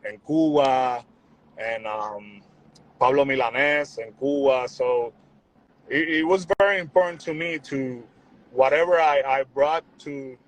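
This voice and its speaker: A young man from Puerto Rico, talking in a relaxed, rambling way as a musician guest on a video call. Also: medium pitch, a little slow, rough recording. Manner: relaxed, rambling, repeats words, says "you know what I'm saying". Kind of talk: casual interview chat.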